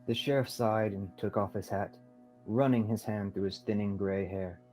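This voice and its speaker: A man's voice: fairly low pitch, steady tone, normal speed, darkish tone, soft background music. A young man reading scripted narration for a radio theatre play. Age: young adult.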